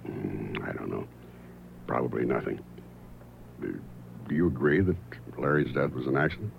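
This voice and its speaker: A private eye from an old radio play, low and gravelly. He is dry and curious, feeling his way through questions and telling his own case. The sound is dull, with music behind. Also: normal speed, slight background noise, exaggerated voice. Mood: gentle, probing. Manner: hesitant, offhand questioning. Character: dry, casual male private detective, curious and unhurried. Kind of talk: radio drama acting and first-person narration.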